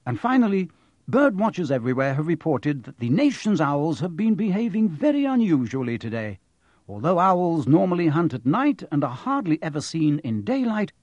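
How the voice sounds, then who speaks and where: A man's voice: a little high, a little mellow, no room sound, muffled recording, pitched above the performer's own voice. A man voicing a polished, jaunty male television newsreader with emphatic announcing in an audiobook.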